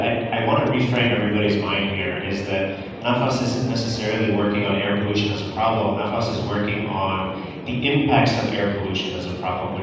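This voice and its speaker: A man from Indonesia, a startup founder speaking on a panel, fluent and reflective with many fillers. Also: on the low side. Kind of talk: panel talk. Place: large event hall. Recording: echoey hall from far off, noisy.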